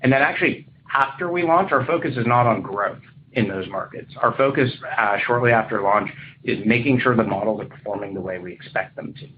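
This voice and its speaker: A man speaking as a company executive, answering questions on an earnings call in measured business language. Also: medium pitch. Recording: muffled conference line, faint noise.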